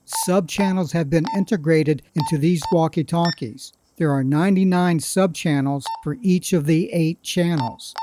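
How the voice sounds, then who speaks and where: A man narrating scripted product instructions in a deliberate, plain, step-by-step way. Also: on the high side, mellow, slight background noise. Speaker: product demonstrator.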